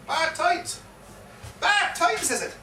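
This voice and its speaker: A man's voice: extremely high pitch, sing-song, slow pace, pushed and bright, full character voice. A man on stage playing a garrulous old soldier with an Irish accent, telling a war yarn with theatrical repetition. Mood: dramatic, boastful. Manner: theatrical, dramatic storytelling with repeated phrases. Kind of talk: live stage performance of a comic one-act play. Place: live performance in a pub. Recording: echoey, distant live pub recording, faint noise.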